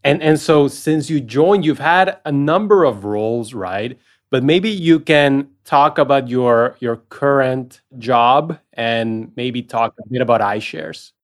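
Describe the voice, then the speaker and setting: A man with an ordinary mid-pitched voice hosts a podcast. He asks his guest questions in a curious, friendly way and is quick to laugh along. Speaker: podcast host. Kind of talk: interview questions.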